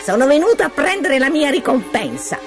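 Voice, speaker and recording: A woman voicing a gleeful, taunting little male dwarf from a fairy tale, with a shrill, sneering delivery. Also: sing-song, brisk, over music. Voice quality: shrill, cackling. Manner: sneering, gloating, bursts of exclamation. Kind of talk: fairy-tale storybook character voice.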